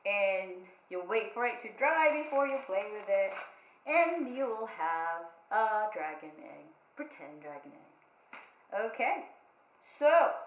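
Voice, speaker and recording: A woman hosting a children's library storytime, explaining a craft in simple, repeated phrases and speaking directly to young children. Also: on the high side. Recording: roomy echo, faint background noise.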